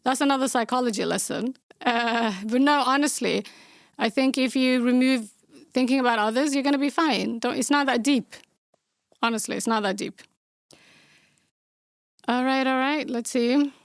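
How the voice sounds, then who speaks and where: A woman's voice: sits high, moderate pace, long pauses, no echo, clean studio sound. Blunt young woman with a Somali accent. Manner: blunt and outspoken, with sarcastic deadpan asides. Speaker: podcast host. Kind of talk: casual Q&A answering viewer questions.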